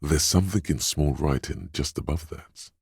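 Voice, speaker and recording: A man voicing the inner sense of perception in a game, measured, watchful and dryly descriptive. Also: a bass voice, creaky, breathy edge, dry recording, clean studio sound, full character voice. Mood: observant. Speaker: voice of a detective's perception skill. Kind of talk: scripted game voice lines.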